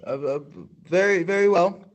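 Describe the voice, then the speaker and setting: A man's voice: sits high, normal speed, natural voice. A young male student actor playing a nervous radio reporter in a stage radio play, stammering through his questions. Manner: stammering, hesitant.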